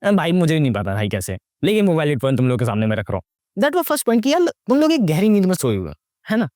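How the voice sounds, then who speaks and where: A young man delivers mocking, slangy movie commentary. His voice sits a little high and swings widely in pitch as he fires off rhetorical questions. The sound is dry, with very little room around it. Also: huge pitch swings, fast talker, darkish tone, no room sound. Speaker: YouTube movie reviewer. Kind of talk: casual, sarcastic movie commentary.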